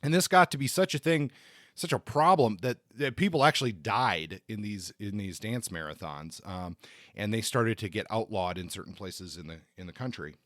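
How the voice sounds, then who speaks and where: Male podcast co-host, lower register, informal history explainer with a halting delivery, dry sound. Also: fairly bright tone, dry recording. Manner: halting, often repeats words. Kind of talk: conversational book discussion, explaining history.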